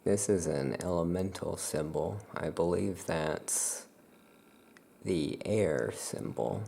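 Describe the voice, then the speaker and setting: A soft-spoken ASMR host playing a calm witch shopkeeper, talking gently and without hurry while showing items. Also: low-pitched, gravelly, a little hiss or hum. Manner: gentle, unhurried, hushed delivery. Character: calm Salem witch shopkeeper welcoming customers. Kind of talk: soft-spoken ASMR roleplay, product showcase.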